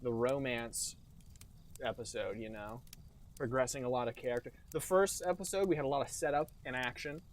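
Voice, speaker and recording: A man's voice: medium pitch, fairly quick, slight background noise. A man co-hosting a comedy podcast, chatting casually and laughing often while quoting lines with mock drama. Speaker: podcast co-host.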